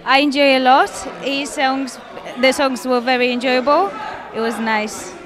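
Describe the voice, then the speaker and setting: High-voiced young woman amid crowd noise. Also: fairly even intonation, measured pace. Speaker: event guest. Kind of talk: short casual interview answers. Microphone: handheld microphone. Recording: loud event crowd behind.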